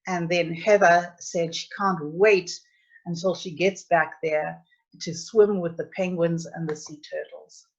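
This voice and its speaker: A middle-aged woman with a South African accent presents a travel webinar over a computer mic on a video call. Her voice sits a little low, and the audio sounds dull and lo-fi. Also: fairly even intonation, unhurried. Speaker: travel agency owner hosting a webinar.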